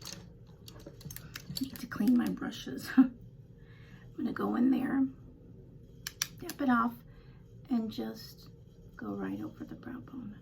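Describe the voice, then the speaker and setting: An older woman with a slightly raspy voice, chatting casually through a home makeup tutorial with rambling, self-deprecating asides. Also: on the high side, huge pitch swings, takes it slowly, pauses often, darkish tone, a little hiss or hum. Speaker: beauty vlogger.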